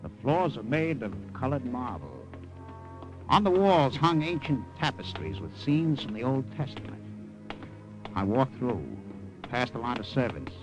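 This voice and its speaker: A stammering secret agent. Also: male voice, mid-range voice, a little slow, over music, poor audio. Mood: narrating. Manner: stammers and fumbles in scenes, narrates in a steady tone. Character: nervous American secret agent, adult man. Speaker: narrator and lead actor in a radio drama. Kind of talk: 1950s radio drama narration.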